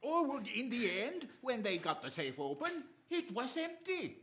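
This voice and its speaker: An actor playing a deferential police inspector posing as a butler in a radio drama, speaking hesitantly and eagerly. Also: male voice, very high-pitched, huge pitch swings, normal speed, fairly bright tone, a little hiss or hum, full character voice. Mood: eager reporting. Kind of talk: theatrical radio drama acting.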